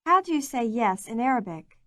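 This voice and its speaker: A woman narrating a language course, reading scripted prompts in a clear, measured way. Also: a little high, animated, sharp tone, no room sound, clean studio sound.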